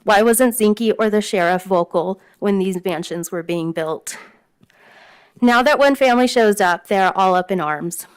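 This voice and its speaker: Woman, mousy voice, smooth and clean tone, earnest prepared public comment, council chamber podium mic. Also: mid-range voice, measured pace. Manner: earnest, reading prepared remarks with ums.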